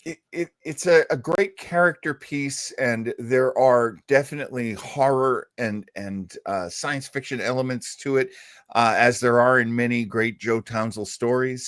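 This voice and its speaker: A man's voice: medium pitch, no room sound, studio quality. A man co-hosting a radio show, chatting casually and hesitantly, with playful self-deprecation as he introduces old-time radio.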